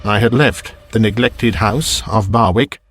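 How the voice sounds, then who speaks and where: A man narrating a Victorian ghost story as an audiobook in a measured, deliberate storytelling manner. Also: fairly low pitch, faint music behind.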